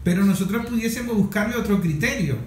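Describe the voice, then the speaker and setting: High-voiced Venezuelan man, echoey room. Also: fast talker, a little mellow. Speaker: host. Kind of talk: conversational discussion. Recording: echoey room, faint background noise.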